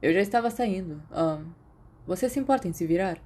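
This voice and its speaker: A woman's voice: on the low side, animated, a little fast, darkish tone, slightly rough. A woman with a Brazilian Portuguese accent voicing an awkward, subdued, self-doubting teenage boy in an audiobook.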